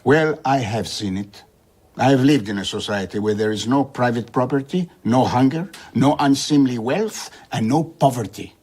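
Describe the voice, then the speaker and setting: An actor playing an idealistic older traveller and philosopher in an audio drama, speaking in a measured, reflective way. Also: male voice, medium pitch, a little mellow, slightly rough, close to an everyday voice. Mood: earnest. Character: worldly old male traveller-philosopher, idealistic and earnest.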